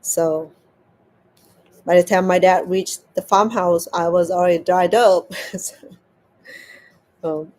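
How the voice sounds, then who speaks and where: Woman telling a story haltingly. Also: lowish voice, steady tone, a little mellow. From Cambodia. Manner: halting, repeats words as she searches for them. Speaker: crochet vlogger. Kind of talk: casual storytelling while crafting.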